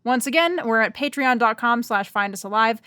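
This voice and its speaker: Higher-voiced woman, dry close sound. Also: animated, fairly quick, bright, forceful tone, smooth, dry recording. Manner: tongue-in-cheek. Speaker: writer and director reading a podcast intro. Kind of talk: scripted announcement with playful jokes.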